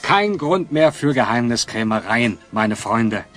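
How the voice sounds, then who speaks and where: A man's voice: medium pitch, very animated, a little slow, a bit bright, faint music behind. An actor playing a dignified, contrite middle-aged magnate and father in an audio drama, speaking formally and grandly. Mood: contrite, solemn. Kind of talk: German audio drama acting.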